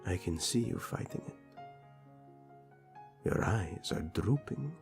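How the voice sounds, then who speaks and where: Tender storybook prince, acted by a man, low hushed murmur, intimate and reassuring, romantic audio roleplay, music underneath. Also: expressive, slow, creaky, full character voice. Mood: tender, soothing. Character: tender, soothing young prince lulling his beloved to sleep.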